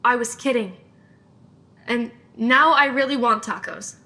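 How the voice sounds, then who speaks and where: Young female actor as a sharp, take-charge supernatural investigator; high, bright voice, deadpan teasing, comedy audio drama. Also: unhurried. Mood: teasing, amused. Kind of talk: audio drama acting, naturalistic comedic banter.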